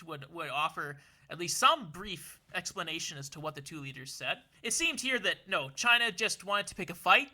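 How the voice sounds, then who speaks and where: A man's voice: sits high, bright, forceful tone. A young man from Canada co-hosting a casual political discussion, talking earnestly with many restarts and repeated words, his voice worn as if losing it. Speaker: podcast co-host.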